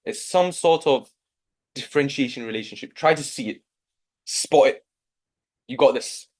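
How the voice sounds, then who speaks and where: A young man with a Yorkshire accent runs a live online maths session as the tutor. He keeps nudging the class along with encouraging prompts, and his voice sits at an ordinary middle pitch for a man. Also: normal speed. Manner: encouraging, coaxing students.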